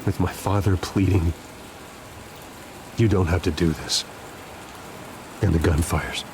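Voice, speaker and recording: Low-voiced brooding American man, rain behind. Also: steady tone, slow pace. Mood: haunted. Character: brooding, haunted wealthy man seeking truth about his parents. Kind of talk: video game voice acting. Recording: clear noise of rain and thunder behind.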